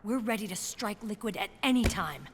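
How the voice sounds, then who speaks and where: A woman's voice: medium pitch, expressive, brisk, close to an everyday voice. An actress playing a confident female military officer in a video game, speaking with assured authority. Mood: businesslike. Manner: assured, commanding. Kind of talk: video game cutscene acting. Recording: clear background noise and ambience.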